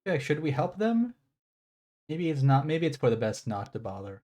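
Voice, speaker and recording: A man's voice: on the high side, big pitch swings, moderate pace, dark tone. A man streaming a game, chatting casually and rambling, easily surprised by what he sees. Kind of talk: casual live commentary.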